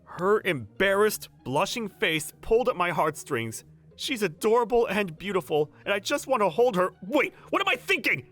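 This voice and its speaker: A man's voice: high-pitched, very animated, normal speed, fairly bright tone. An actor playing an earnest, protective young male manager who narrates a romcom manga dub in first person. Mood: flustered. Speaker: narrator and lead character.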